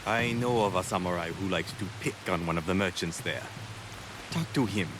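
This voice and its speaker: An actor playing an earnest, loyal ally in historical Japan in a video game cutscene, speaking in a halting, deliberate way. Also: male voice, low voice, lightly acted. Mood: grave. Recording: hiss and rain noise behind.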